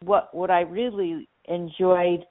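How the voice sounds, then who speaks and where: A woman interviewing an older relative, gently prompting with questions and frequent short affirmations. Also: on the low side, fairly even intonation, slow pace. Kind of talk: conversational interview. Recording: tinny phone-like line.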